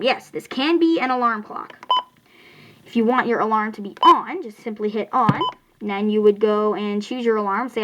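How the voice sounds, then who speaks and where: An American speaker giving a casual, halting hands-on product review and tutorial, explaining things informally and correcting slips along the way. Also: mid-range voice, background noise. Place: indoors at home.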